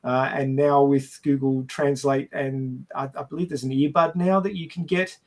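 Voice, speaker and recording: A man talking casually about film and science as a podcast panelist, explaining things haltingly with a wry, jokey streak. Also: mid-range voice, fairly even intonation.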